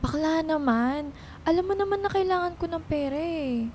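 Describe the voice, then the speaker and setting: A dutiful young Filipina daughter in a radio drama. Her voice is high, and she speaks earnestly, staying respectful yet firm. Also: lively intonation, normal speed, darkish tone, a little hiss or hum. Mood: earnest, troubled. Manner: earnest, polite but firm.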